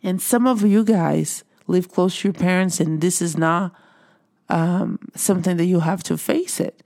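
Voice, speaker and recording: Slow, gravelly Brazilian woman encouraging viewers. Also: on the low side, dark tone. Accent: Brazilian Portuguese. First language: Portuguese. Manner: earnest, repeats phrases for emphasis. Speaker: motivational speaker.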